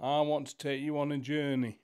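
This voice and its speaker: A man's voice: on the high side, flat delivery, fairly quick, a little mellow, clear, resonant tone, natural voice. A man voicing a teasing magic TV screen in a radio pantomime. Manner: teasing, cryptic. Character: mysterious magic TV screen, male.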